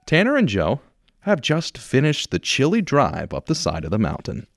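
A man's voice: mid-range voice, huge pitch swings, moderate pace, vocal fry, faint music behind. A man reading scripted narration for a radio drama as its narrator.

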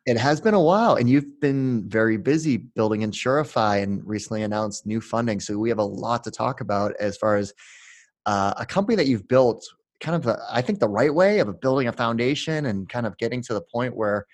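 Podcast host guy, mid pitch, talks in halting rambly bits, dead dry sound. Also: animated, dry recording. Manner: rambling, halting questions full of fillers. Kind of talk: podcast intro and interview questions.

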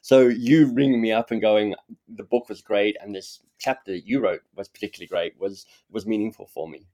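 Low-voiced chatty male host. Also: fairly even intonation, brisk, mellow. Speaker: podcast host. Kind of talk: casual conversation.

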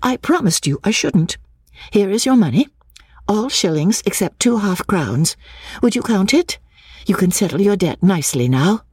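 A woman voicing a brisk, decisive young woman acting as hostess, with a husky voice. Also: medium pitch, lively intonation, darkish tone, dry recording, studio quality, voice pushed up.

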